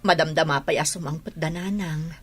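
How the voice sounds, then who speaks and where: A woman's voice: deep voice, fairly even intonation, a little fast, fairly bright tone, breathy edge, soft background music. A woman with an Ilocano Filipino accent playing a worried, regretful mother in a radio drama, weary and plaintive. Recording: background noise behind the voice.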